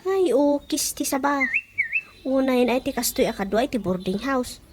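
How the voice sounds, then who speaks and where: A woman's voice: sits high, moderate pace, background noise, lightly acted. A woman with an Ilocano accent playing an exasperated boarding-house resident who grumbles about a freeloading friend in a comedic radio drama. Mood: annoyed, ranting. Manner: grumbling, complaining, exclamatory.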